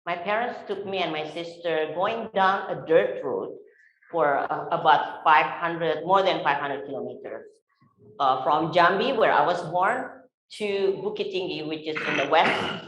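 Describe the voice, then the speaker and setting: A woman from Indonesia with an Indonesian accent, telling her life story as a panelist in an unhurried way with many fillers. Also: fairly low pitch. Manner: unhurried, frequent fillers, warm laughs. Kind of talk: personal storytelling at a symposium. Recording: echoey lecture hall.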